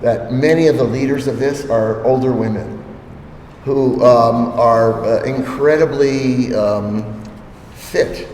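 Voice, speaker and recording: An older man, an anthropologist, explains things to an audience at a gallery talk. He often pauses and says um. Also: mid-range voice, darkish tone. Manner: hesitant, many ums, thoughtful. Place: gallery hall. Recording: echoey gallery hall, faint noise.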